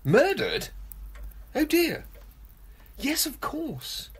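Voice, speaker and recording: A woman playing a suave, courteous professor with a husky voice and sinister calm in a radio play. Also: sits high, extremely slow, full character voice. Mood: suave, measured.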